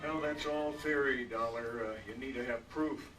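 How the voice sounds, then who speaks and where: A man playing a folksy, skeptical police lieutenant who speaks haltingly and deliberately in a live old-time radio drama, a little off the mic. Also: mid-range voice, fairly even intonation, far from the mic, music in the background, close to an everyday voice, poor audio. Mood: surprised, skeptical.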